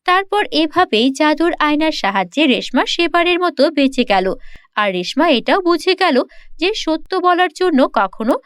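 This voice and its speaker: A woman narrates a children's fairy tale from a script. Her voice is very high-pitched, with a close, dry sound and a storyteller's delivery. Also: very animated, a little slow, fairly bright tone, no echo.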